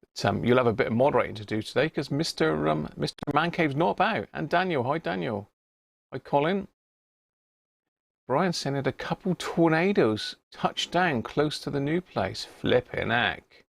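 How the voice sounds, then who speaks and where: A man's voice: on the high side, animated, normal speed, vocal fry, husky, no echo. A British man hosting a livestream, chatting to viewers in an informal, matey, casual way. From United Kingdom. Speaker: livestream host. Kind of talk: casual live chat to viewers.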